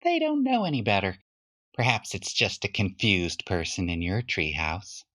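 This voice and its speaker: Storybook mother, male reader in the part: prim, dismissive, proper, very lively intonation. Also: on the low side, normal speed, pitched below the performer's own voice, exaggerated voice. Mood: patronizing. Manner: patronizing, proper. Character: Johnny's dismissive, prim mother, talking down gently. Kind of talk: children's storybook reading.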